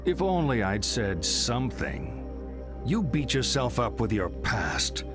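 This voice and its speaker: A man playing an older, morbid psychiatrist in a video game, deliberate, needling and theatrical. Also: medium pitch, sing-song, over music. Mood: cynical, musing.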